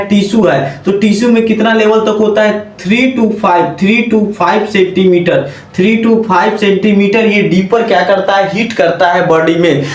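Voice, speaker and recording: A man with an Indian accent giving an instructional lecture as a teacher, repeating himself and often asking rhetorical questions as he explains. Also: high-pitched. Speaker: physiotherapy lecturer. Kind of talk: instructional lecture mixing Hindi and English terms. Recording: echoey room sound.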